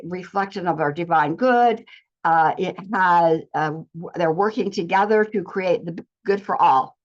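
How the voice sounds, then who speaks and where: A woman giving an earnest spiritual teaching talk, speaking in declarations with frequent restarts. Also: lowish voice. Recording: slightly dull lo-fi recording.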